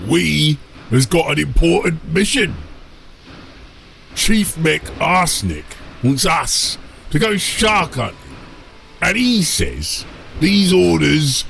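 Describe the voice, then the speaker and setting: A man voicing a gruff ork submarine captain in an audiobook, gravelly and bellowing like a drill sergeant with rough grammar. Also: on the high side, sing-song, fairly bright tone, slight background noise, voice pushed up, heavily acted. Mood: commanding. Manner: drawn-out, emphatic bellowed delivery with rough grammar.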